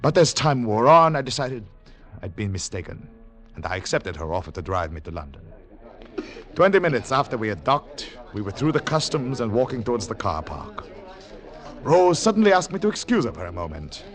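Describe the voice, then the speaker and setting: An actor playing a grave, wary secret agent in a 1940s radio drama, with a measured, dramatic delivery. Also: male voice, mid-range voice, sing-song, natural voice. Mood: grave, tense. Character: David, weary wary foreign secret agent narrating his mission. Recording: old radio recording with music.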